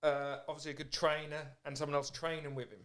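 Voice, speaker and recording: A man's voice: on the high side, little pitch movement, fast, fairly bright tone. A man hosting a podcast, talking casually, reflective and encouraging. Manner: encouraging, reflective, frequent "yeah" backchannels. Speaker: podcast host. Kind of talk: casual conversation.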